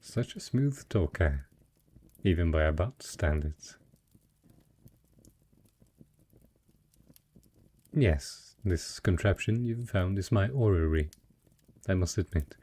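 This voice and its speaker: Man acting a deep, husky, suave merchant lord. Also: expressive, unhurried, dark tone, full character voice. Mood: amused, flirtatious. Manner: courtly, formal, smooth-talking flirtation. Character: wealthy male merchant patron, secretly a dragon, suave and possessive. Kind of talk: romantic fantasy audio roleplay addressed to the listener.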